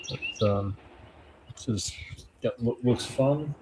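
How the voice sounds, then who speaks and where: A man chatting casually on a livestream, playful and quick to joke. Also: mid-range voice, noisy background. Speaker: guest.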